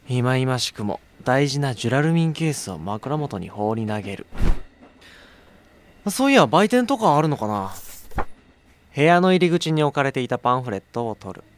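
Man acting a lively, wry young courier. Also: medium pitch, normal speed. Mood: wry, pleased. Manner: casual inner monologue, exasperated asides. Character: harried young male courier, wry and irritable. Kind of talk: radio drama acting with first-person narration.

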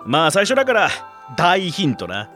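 A middle-aged man played by an actor poses riddles in a radio drama. His speech is rough and teasing, with a playful yet menacing temper. The pitch sits slightly high and moves a lot, with music faintly behind. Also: huge pitch swings, moderate pace, sharp tone, full character voice. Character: gruff, playful older stranger posing riddles, quietly menacing. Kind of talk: radio drama dialogue and storytelling.

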